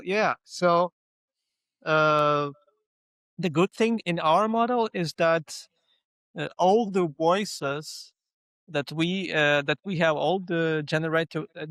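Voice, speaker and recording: Slow, hesitant male interview guest, Ukrainian native, slightly high voice, dry sound. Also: a bit bright, no room sound. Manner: halting, many fillers and repeated words. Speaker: guest, localization company founder. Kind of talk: conversational interview.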